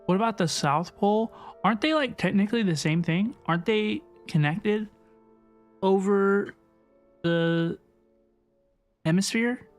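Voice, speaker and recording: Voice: mid-range voice, unhurried, long pauses. Rambling, slangy game streamer with music behind. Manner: rambling, slangy, reacting aloud. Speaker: livestreamer playing a video game, VTuber. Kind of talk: casual live game commentary, chatting with viewers. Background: video game music and dialogue.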